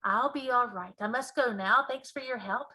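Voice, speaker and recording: A woman voicing a composed, commanding queen in a children's storybook, measured and matter-of-fact. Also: fairly high pitch, sharp tone. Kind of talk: children's storybook character reading.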